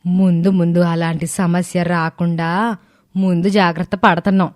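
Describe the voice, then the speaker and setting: A woman's voice: on the low side, flat delivery, moderate pace, darkish tone, no echo, clean studio sound, lightly acted. A woman voicing a bossy, defensive middle-aged mother in a cartoon story. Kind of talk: scripted cartoon dialogue.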